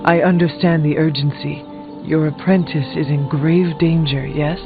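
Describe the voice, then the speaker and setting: A man's voice: fairly high pitch, fairly even intonation, moderate pace, phone-quality sound, natural voice. An actor playing a solemn, resolute male warrior hero in a video game, talking in short, formal, plain sentences. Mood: steady, serious. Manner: formal, terse, plain-spoken. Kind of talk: video game character dialogue. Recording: thin band-limited audio under loud music. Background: game music.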